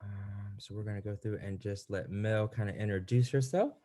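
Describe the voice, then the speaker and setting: A man presenting at an online teacher webinar, speaking in a relaxed, halting way with frequent fillers. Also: lowish voice.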